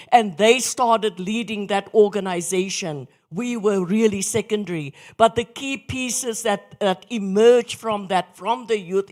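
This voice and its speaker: A middle-aged person originally from South Africa, making a halting, emphatic comment from the audience at a conference session. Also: medium pitch. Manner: halting, repeats words, emphatic. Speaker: audience member.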